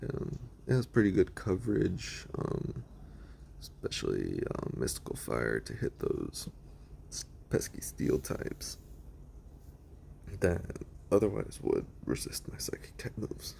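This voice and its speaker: A man whose raspy voice is wearing out talks slowly through his team in a casual, meandering way. His voice is very deep and heavily gravelly, yet it rises and falls with lively swings. He stumbles through ums and restarts as he goes. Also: a little hiss or hum. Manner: rambling, lots of ums and restarts. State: hoarse, losing his voice. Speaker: video creator explaining his team.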